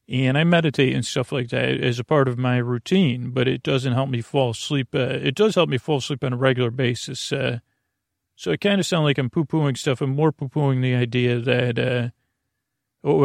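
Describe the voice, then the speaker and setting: Male podcast host, gravelly fry, meandering and gently silly bedtime monologue, close dry recording. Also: medium pitch, no echo. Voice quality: gravelly, creaky. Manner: meandering, halting, digressive.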